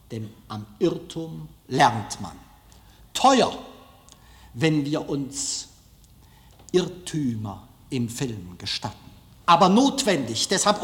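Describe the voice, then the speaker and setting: A man giving a public lecture in emphatic, deliberate phrases with rhetorical repetition, recorded in a lecture hall. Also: on the high side. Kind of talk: public speech, declamatory lecture.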